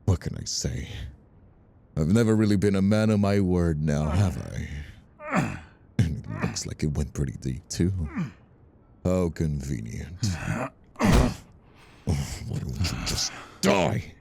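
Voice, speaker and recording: A man's voice: low-pitched, wildly swooping pitch, takes it slowly, vocal fry, long pauses, a little mellow, husky, exaggerated voice. A man playing a bitter, mocking mob boss in an audio roleplay drama, taunting an old rival with contempt. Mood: mocking menace.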